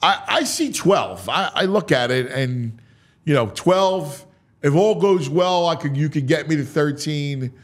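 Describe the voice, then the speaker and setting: A man hosting a sports talk show, mixing dramatic, drawn-out delivery with casual, offhand asides. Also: on the high side, animated.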